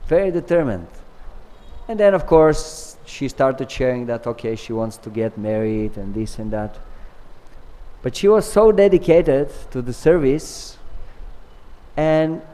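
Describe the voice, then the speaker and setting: A man from Bulgaria, giving an earnest devotional scripture class and telling stories with pauses and restarts. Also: mid-range voice, sing-song, mellow. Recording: long reverb of a large hall.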